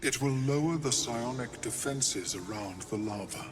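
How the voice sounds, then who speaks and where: A man voicing a scholarly, courteous mind flayer wizard in a video game, calm and detached, speaking in a measured, formal, precise way. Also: mid-range voice, natural voice. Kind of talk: video game character acting. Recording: long reverb, music behind.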